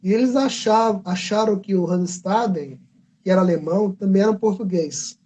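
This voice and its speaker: A man's voice: sits high, normal speed, a little mellow, low-quality recording. A Brazilian man, a comic book artist, telling a history story in a casual livestream, rambling and correcting himself as he goes. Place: convention livestream.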